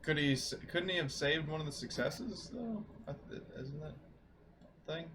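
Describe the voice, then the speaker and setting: Man, fairly high, animated, casual tabletop player, some background noise. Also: moderate pace. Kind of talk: casual game chat.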